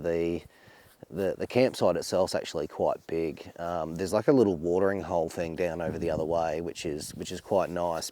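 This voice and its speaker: A man with an Australian accent vlogging casually at an outdoor bush campsite while he cooks, rambling and relaxed, a little snuffly from a blocked nose. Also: sits low. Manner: relaxed, rambling, laid-back slang. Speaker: overlanding vlogger. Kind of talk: casual outdoor vlog narration while cooking.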